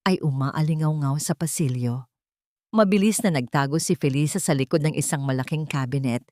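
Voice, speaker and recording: A woman reading a dramatic scripted audio story in a solemn, deliberate way. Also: low-pitched, darkish tone, dry recording, studio quality. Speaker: story narrator.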